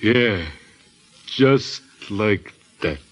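A slow-witted thug who has taken too many punches. He drawls out his words haltingly and eagerly, on a dull old radio crime-drama recording. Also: fairly low pitch, lively intonation, heavily acted. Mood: eager, dim. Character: dim, punch-drunk wrestler henchman, eager to obey. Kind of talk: 1940s radio acting.

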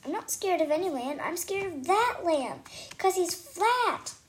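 A woman's voice: extremely high pitch, expressive, measured pace, pitched above the performer's own voice. A woman voicing a timid, whiny girl in a storybook, fretful in how she speaks.

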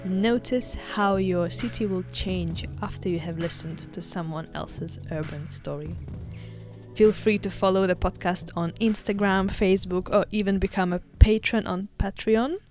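A woman hosting a podcast, speaking in a slow, deliberate and measured way. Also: mid-range voice, darkish tone, soft background music. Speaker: podcast host. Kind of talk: podcast intro and interview.

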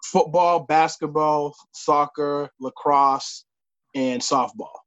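A man's voice: fairly high pitch, fairly even intonation, a bit bright, clear, resonant tone, dry recording, muffled recording. A man in his late thirties from the United States, chatting casually as an interview guest and often repeating his words. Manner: halting, repeats words and phrases. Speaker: podcast guest, sports referee.

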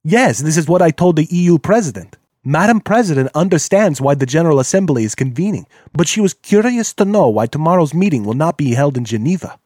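A man voicing a courteous, formal male diplomat with a higher, measured delivery. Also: animated, a little mellow, dry recording, clean studio sound. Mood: earnest.

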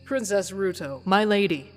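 A woman voicing a dignified giant owl in a story, formal, courteous and measured. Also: medium pitch, big-room sound, soft background music, natural voice. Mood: courtly concern.

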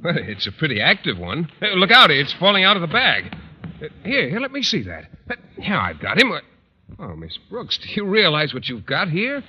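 An American actor playing a shy, literal-minded biology teacher in a radio comedy, earnest and hesitant, slipping into pedantic explanation. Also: male voice, fairly high pitch, huge pitch swings, moderate pace. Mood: amazed, pedantic. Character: bashful, naive, earnest male biology teacher. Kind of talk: old-time radio comedy acting. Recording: dull, noisy vintage radio broadcast recording.

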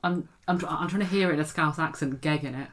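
A young woman from England in casual chat, co-hosting, chatty and animated as she guesses. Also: sits low, quick pace. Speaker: co-host.

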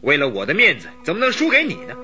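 An actor playing an arrogant count who leads a gang, speaking defiantly and boastfully in a radio drama. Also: male voice, fairly high pitch, huge pitch swings, very fast, sharp tone, soft background music, exaggerated voice. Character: arrogant, stubborn male count and gang boss.

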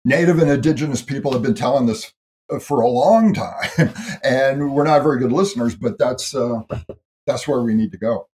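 A man's voice: medium pitch, moderate pace, a little mellow. Earnest man explaining ideas. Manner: thoughtful, explanatory, earnest, with small restarts. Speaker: guest speaker on history and ancestry. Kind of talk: interview conversation.

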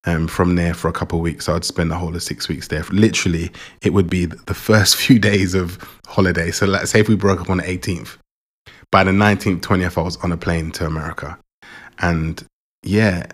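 A Jamaican-born man raised in England, hosting his own podcast in a relaxed, rambling way and often repeating words. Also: deep voice. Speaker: podcast host. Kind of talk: casual personal monologue.

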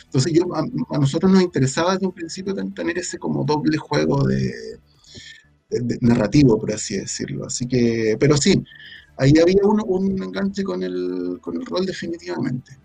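A Chilean man talking casually as an interview guest, halting and thinking aloud as he goes. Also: on the high side, gravelly, mellow, breathy edge, no echo. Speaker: guest, audio drama creator. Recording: faint music behind. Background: faint music.

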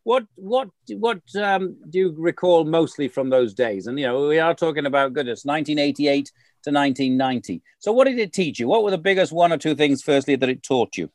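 A man's voice: on the high side, lively intonation, a little fast, dry recording. A man hosting a casual interview, chatty and leading his guest with questions. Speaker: interview host.

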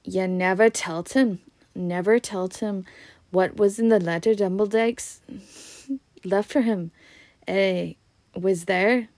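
A woman voicing a gruff, blustery but kindly giant man with a Scottish accent, reading from a storybook. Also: a little mellow, no echo. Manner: booming, blustery.

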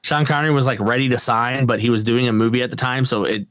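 A man co-hosting a loose movie podcast, rambling through opinions with plenty of swearing and laughter. His voice sits at an ordinary pitch. The sound is dry and close to the mic. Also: steady tone, fast, a bit bright, dry recording. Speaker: podcast co-host. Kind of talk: casual movie podcast chat.

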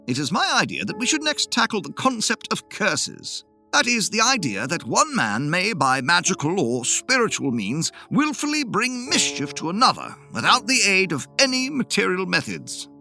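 A man reading the part of an earnest Victorian gentleman who leads a rationalist dining club, speaking in a declamatory manner. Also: high voice, huge pitch swings, moderate pace, gravelly, soft background music, pitched above the performer's own voice, exaggerated voice. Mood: persuasive.

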